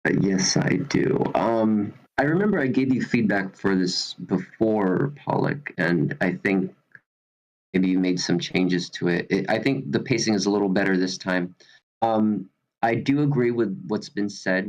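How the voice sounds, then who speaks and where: A man giving casual, halting critique as a reader, thinking aloud informally over an online call. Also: on the low side, muffled recording. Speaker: reader giving script feedback. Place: online video meeting.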